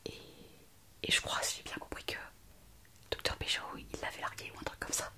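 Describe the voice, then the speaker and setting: Woman playing excitable whispering young hospital worker. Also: fairly quick, lightly acted. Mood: confiding, hesitant. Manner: breathless, hesitant storytelling to the listener. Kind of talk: whispered ASMR roleplay.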